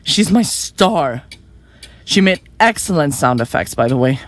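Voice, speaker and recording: A woman playing a flippant, self-important young murderer in an audio drama, glib and quick to get defensive. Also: low-pitched, animated. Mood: blithe pride. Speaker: murderous podcaster in a therapy session. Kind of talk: audio drama acting, conversational.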